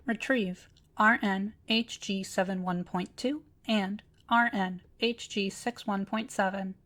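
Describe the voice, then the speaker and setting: A woman playing an ancient, courteous automaton librarian in a scripted audio roleplay, speaking formally with precise, measured diction. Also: medium pitch, steady tone, a bit bright, slight background noise. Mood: precise, helpful. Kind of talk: scripted roleplay audio drama addressed to listener.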